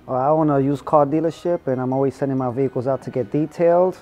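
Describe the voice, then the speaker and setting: A used car dealer from Brooklyn giving a quick, upbeat testimonial about a detailing class. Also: male voice, mid-range voice. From Brooklyn, New York. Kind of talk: testimonial interview. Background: soft background music.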